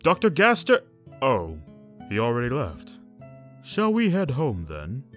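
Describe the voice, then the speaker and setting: Man as gentle monster king father for cartoon dub; folksy, kindly, hugely varied intonation. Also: medium pitch, wildly swooping pitch, a little slow, soft background music. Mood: kindly, mild. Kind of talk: cartoon comic dub acting.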